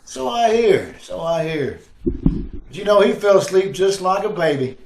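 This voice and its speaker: A quick-witted, cocky sleuth from a 1940s radio play, acted by a man. He trades slangy wisecracks in a slightly high voice. The audio has a pronounced room echo. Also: very animated, darkish tone, slight background noise, heavily acted. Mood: smug, wisecracking. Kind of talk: 1940s radio detective acting. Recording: roomy, echoing video-call audio.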